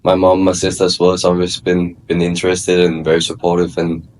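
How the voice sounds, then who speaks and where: Deep-voiced young Danish man. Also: fairly quick, slight background noise. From Denmark. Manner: relaxed, hesitant, many fillers and restarts. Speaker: guest, college soccer player. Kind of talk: casual interview chat.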